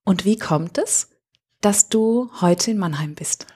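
A woman's voice: mid-range voice, slow pace, a little mellow, husky. A woman hosting a podcast, chatting casually and asking the guest friendly questions. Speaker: podcast host and interviewer.

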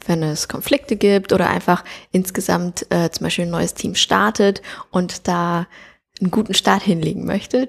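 A woman in her thirties from Germany, a guest telling her life story in a relaxed, chatty way with many fillers, recorded in a coworking space. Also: mid-range voice, vocal fry, slightly rough. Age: 30s. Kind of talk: conversational interview.

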